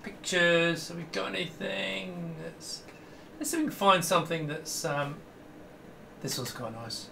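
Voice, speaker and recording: A man's voice: a little high, measured pace, creaky, noisy background. A middle-aged man giving a casual, enthusiastic hands-on demo to camera, rambling and often breaking off mid-sentence. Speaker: host demonstrating a vintage computer. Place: small indoor home room with computer gear.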